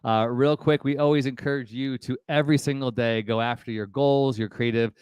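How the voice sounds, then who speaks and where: A man's voice: medium pitch, steady tone, a little fast, darkish tone, clear, resonant tone, dry recording. A man hosting a live web show from his office headquarters, upbeat and encouraging. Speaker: host.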